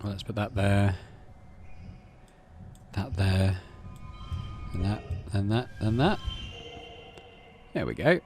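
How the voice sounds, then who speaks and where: A man's voice: on the low side, slow, pauses often, a little mellow, soft background music. A man reading out a story game as narration and adding casual commentary as he plays. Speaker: video game streamer.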